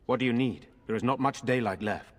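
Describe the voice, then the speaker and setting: An actor playing a courteous, earnest medieval nobleman in a fantasy video game, with formal, gallant manners and a husky voice. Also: mid-range voice, fairly quick, big-room sound, close to an everyday voice. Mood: grave, pressed.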